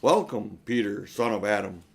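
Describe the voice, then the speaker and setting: A man voicing a great royal lion who speaks in solemn, deliberate, commanding proclamations for a storybook. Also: lowish voice, expressive, vocal fry, breathy edge, exaggerated voice. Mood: solemn welcome.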